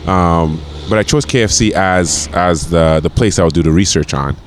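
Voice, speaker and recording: A man in his forties from Grenada talks in a very deep, low voice. He rambles in a loose, casual way and often stumbles over repeated words and fillers. There is a faint hiss of noise behind him. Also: moderate pace. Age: 40s. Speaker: podcast guest, chef. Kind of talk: casual conversation.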